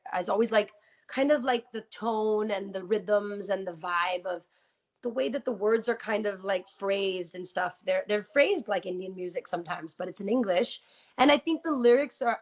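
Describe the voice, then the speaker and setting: A woman guest talking candidly in a radio interview, earnest and quick, with many "you know" fillers. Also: mid-range voice. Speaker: guest rapper. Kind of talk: casual interview talk with rapped verses. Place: radio studio. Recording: muffled lo-fi sound.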